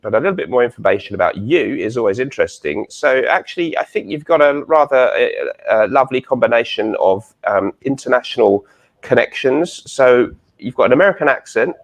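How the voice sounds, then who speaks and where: A man's voice: mid-range voice, animated, fairly quick. A man hosting a chatty online interview, speaking in a polite, complimentary and somewhat hesitant way. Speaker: host of a fragrance interview show.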